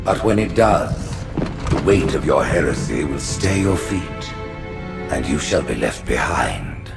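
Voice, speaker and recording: An actor voicing an imperious ancient alien high priest who speaks in a solemn, grandiose manner. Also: male voice, sing-song, measured pace, creaky, exaggerated voice. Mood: judging. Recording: game cutscene with loud music.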